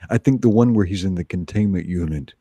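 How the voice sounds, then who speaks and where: Very deep, crisp-voiced man chatting. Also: quick pace, mellow, no room sound. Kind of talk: casual chat on a livestream.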